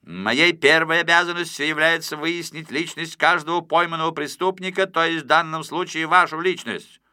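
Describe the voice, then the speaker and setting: Man as a smug, bright-voiced police interrogator. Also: on the high side, fairly even intonation, moderate pace, clean tone, no echo, clean studio sound, voice pushed up. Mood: officious. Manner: officious, reciting. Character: smug officious police interrogator, a gleeful little bureaucrat.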